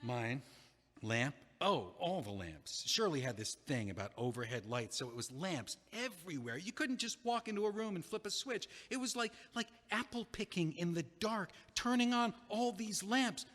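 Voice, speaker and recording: A man's voice: high-pitched, lively intonation, moderate pace, fairly bright tone. An actor in an audio play, playing a recently dumped man who talks in a dry, wry, hesitant way, recorded with a long hall reverb. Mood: rueful. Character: recently dumped man, rueful and self-mocking.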